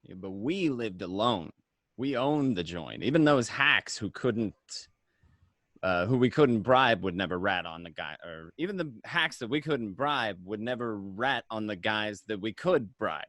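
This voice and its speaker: A man's voice: on the low side, expressive, measured pace, a bit bright, no room sound. A man playing a wry, matter-of-fact mobster who narrates his prison memories conversationally in a dramatic script reading. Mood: wry reminiscing.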